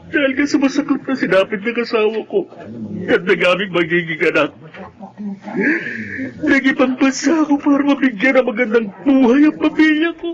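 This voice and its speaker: A grieving young husband in a radio melodrama. The voice sits high for a man and carries heavy, emphatic emotion. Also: moderate pace, lo-fi sound. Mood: anguished. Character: grieving young husband back from abroad, vengeful son. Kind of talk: melodramatic radio drama acting.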